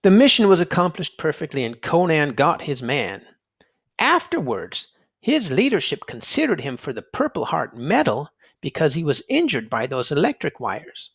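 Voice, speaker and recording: A man reading aloud to children in an expressive storybook style, dramatic and emphatic, drawing out words for effect. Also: on the high side, normal speed, vocal fry, slightly rough. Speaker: children's read-aloud narrator and quiz host.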